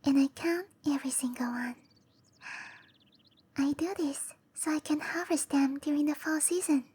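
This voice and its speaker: Woman playing a high, whispery, gentle dryad girl. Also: lightly acted. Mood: gentle, earnest. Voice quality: soft, breathy, often whispering. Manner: slow, tender, hesitant delivery. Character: gentle, shy cherry blossom dryad woman. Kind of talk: whispery roleplay ASMR.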